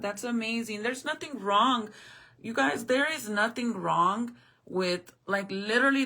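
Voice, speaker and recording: A woman in her forties chatting loosely with her live audience, blunt and full of swearing. Her voice is bright and tense, with a gravelly fry at the bottom of it. Her first language is Spanish. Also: medium pitch. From American Southwest. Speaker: live streamer. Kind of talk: casual chat answering viewers.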